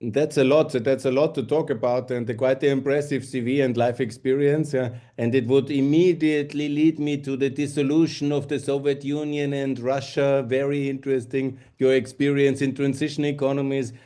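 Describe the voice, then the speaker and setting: A man's voice: mid-range voice, flat delivery, normal speed, hardly any pauses, dark tone. A man hosts an interview podcast, speaking friendly, slightly broken English. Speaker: podcast host. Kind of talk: interview hosting.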